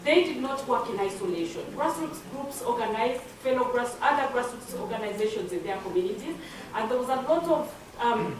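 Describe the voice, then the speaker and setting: A woman from Uganda presents to a conference panel, halting and repeating herself as she goes. Her fairly high voice comes from a distance in a very echoey hall. The audience murmurs behind her. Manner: hesitant, many fillers and repeated words. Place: conference room.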